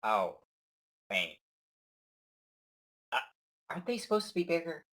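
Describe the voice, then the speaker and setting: Husky-voiced guy rp-ing at a game table, stammery, pitch jumping all over. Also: measured pace. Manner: excitable, stammering, jumpy pitch. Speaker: player. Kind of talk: in-character tabletop roleplay.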